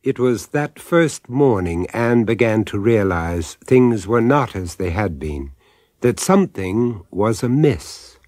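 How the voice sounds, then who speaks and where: An actor narrating a supernatural radio drama as a grave storyteller, with measured, suspenseful phrasing. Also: male voice, fairly low pitch, lively intonation, darkish tone. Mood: ominous.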